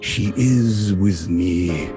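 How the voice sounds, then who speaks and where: An actor playing a menacing, gloating vampire count with a deliberate, taunting delivery in a horror audio drama. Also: male voice, low-pitched, music in the background.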